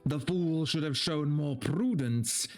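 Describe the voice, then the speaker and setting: A man's voice: fairly high pitch, slow, soft background music. A man voicing a stern, sadistic male soldier with a menacing, formal, declamatory delivery in a fantasy story reading. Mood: threatening. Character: stern, threatening, sadistic soldier guarding a captive.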